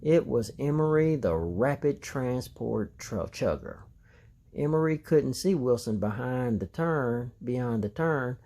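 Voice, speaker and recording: A man's voice: medium pitch, animated, slow pace, warm tone. A man reading a children's story aloud as narrator. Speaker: storybook reader.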